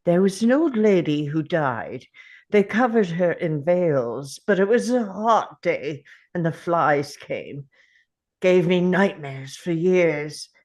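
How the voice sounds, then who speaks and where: A woman playing an ancient, defiant, sardonic male time traveller in a dramatic script table read, speaking theatrically with deliberate pauses. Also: fairly low pitch, a little mellow, full character voice. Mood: brooding, uneasy.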